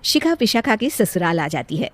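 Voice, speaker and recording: A woman's voice: mid-range voice, big pitch swings, normal speed, a bit bright. A woman reading scripted narration for a cartoon moral story as the storyteller.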